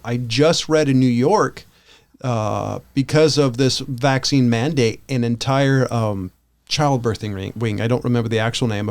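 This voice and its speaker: A man with an American accent co-hosting a podcast, talking casually and giving opinions in a rambling, halting way that often backtracks. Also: mid-range voice. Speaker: podcast co-host.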